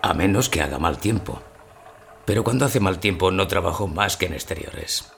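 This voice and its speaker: An actor playing a gruff, self-assured photographer in his fifties in an audio drama, gravelly and terse. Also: low-pitched, normal speed, fairly bright tone, slight background noise. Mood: self-satisfied. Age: 50s. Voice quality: gravelly, creaky. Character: gruff handless door-to-door photographer, man of fifty, self-assured.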